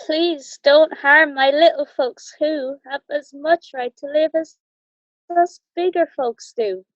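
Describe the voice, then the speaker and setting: A gentle, protective storybook elephant, played by a woman, with a high voice and an earnest, pleading manner. The sound is close and dry but dull. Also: takes it slowly, no echo. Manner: pleading, earnest rhyming speech. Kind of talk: children's storybook read-aloud.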